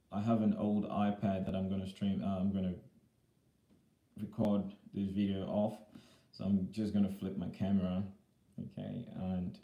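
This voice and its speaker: A man live-streaming a casual vlog, slightly hoarse after being sick, talking haltingly with many fillers and repeated words. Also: low-pitched, mellow, roomy sound. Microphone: iPad camera.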